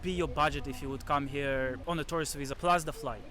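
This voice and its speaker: A man with a slightly high voice works as a street interviewer. He asks short, informal questions in a casual way, speaking outdoors. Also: a little fast, crowd noise. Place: outdoors at a busy snow festival in Japan.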